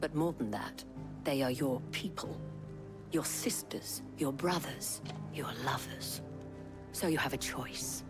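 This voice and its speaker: Game role: commanding woman guarding her people, deep female voice, firm speeches, score underneath. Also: slow. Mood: stern, rallying. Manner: firm, stern, oratorical. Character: commanding, righteous lady protector of townsfolk. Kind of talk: dramatic game cutscene acting. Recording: music behind the voice.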